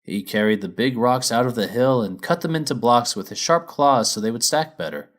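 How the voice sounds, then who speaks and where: A man's voice: medium pitch, lively intonation, brisk. A man reading a children's story as its narrator, in a scripted storybook style.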